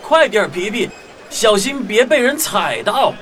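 A woman's voice: mid-range voice, big pitch swings, a little slow, a bit bright, some chatter behind, slight background noise. A woman playing a bold, boastful young male city mouse in a children's audio drama. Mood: urgent. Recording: music under the voice.

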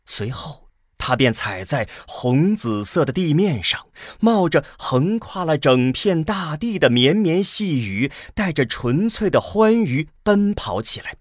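A man's voice: a little high, very animated, measured pace, dry recording, studio quality. A man narrating a science fiction radio drama in a dramatic scripted style. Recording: music behind the narration.

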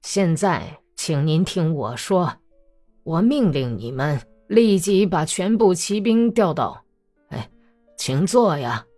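A woman's voice: lowish voice, big pitch swings, slow, dark tone, heavily acted. A woman acting out an irate, domineering old male general in an audiobook, imperious and scolding, with music behind. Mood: composed, commanding. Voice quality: hoarse when angry.

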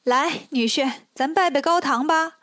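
A woman's voice: high voice, a little slow, fairly bright tone, dry recording, studio quality. A woman voicing a crafty, coy middle-aged mother-in-law with a coaxing manner in a storybook tale. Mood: inviting.

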